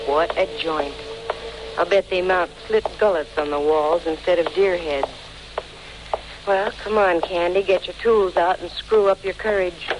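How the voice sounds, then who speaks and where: A woman's voice: fairly low pitch, steady tone, measured pace, natural voice. Actress as brassy female private eye: dry, breezy, wisecracking, old-time radio sound with slight noise. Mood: wry. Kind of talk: 1940s radio detective acting. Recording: noisy vintage 1940s radio recording.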